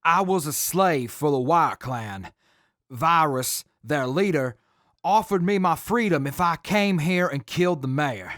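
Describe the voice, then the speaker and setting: A forthcoming captive, acted by a man in a wasteland radio drama. The voice is high for a man, theatrical and animated, taking its time. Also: unhurried, dry recording, studio quality. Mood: eager, confessional. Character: former slave prisoner, oddly forthcoming confessed killer. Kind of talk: post-apocalyptic radio drama acting.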